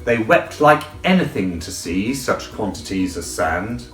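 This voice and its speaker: A man narrating a children's storybook in an expressive, theatrical way. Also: lowish voice, measured pace, roomy sound, distant mic, soft background music. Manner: theatrical, animated. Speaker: audiobook reader.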